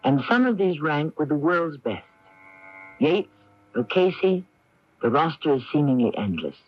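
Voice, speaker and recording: Animated male radio drama host. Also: fairly high pitch, measured pace. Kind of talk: dramatic scripted narration. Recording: dull lo-fi 1980s AM radio recording.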